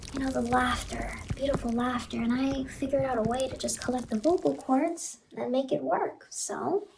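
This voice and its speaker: A woman voicing a sinister, playful immortal clown in a horror ASMR roleplay, murmuring softly and coaxingly to the listener and often slipping into whispers. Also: sits high, vocal fry, a little hiss or hum, low-quality recording.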